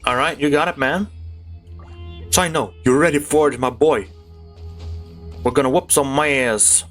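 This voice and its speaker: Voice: medium pitch, measured pace, fairly bright tone. Game streamer, casual commentary, playful, slangy, mid-range voice, faint game music behind.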